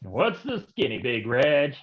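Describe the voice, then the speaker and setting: A man's voice: medium pitch, big pitch swings, unhurried, muffled recording. An actor playing a wisecracking, down-at-heel noir private detective in a stage reading, with slangy tough-guy talk. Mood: guarded. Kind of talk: noir stage acting with narrated monologue.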